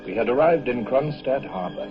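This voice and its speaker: An actor playing a dry, resolute British naval commodore in an old-time radio drama, formal, clipped and authoritative. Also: male voice, medium pitch, expressive, normal speed, dull audio, music in the background, lightly acted. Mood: reflective narration. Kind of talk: old-time radio drama acting and first-person narration.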